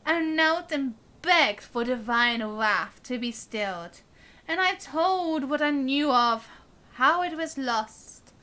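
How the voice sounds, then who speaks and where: Woman streamer, high bright voice, unhurried, reading game text aloud, casual. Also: animated.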